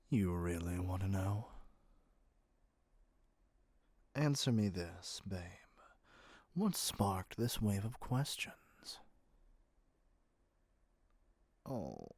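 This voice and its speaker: A man's voice: lowish voice, a little mellow, dry recording, full character voice. A man playing a doting yet controlling yandere kidnapper in an ASMR roleplay, speaking intimately to the listener with sweet pet names. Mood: cool, guarded.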